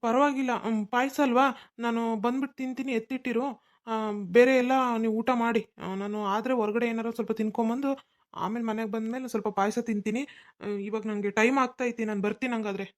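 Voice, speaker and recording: A woman's voice: fairly high pitch, fairly even intonation, natural voice. Woman voicing an evasive young son. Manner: hurried, brushing off questions.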